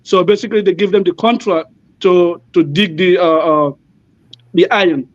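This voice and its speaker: Brief: man from Guinea, native French speaker, high voice, halting explainer, dull dry lo-fi recording. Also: fairly even intonation, no echo. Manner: halting, many fillers and restarts. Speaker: panel guest. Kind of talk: casual explanatory talk.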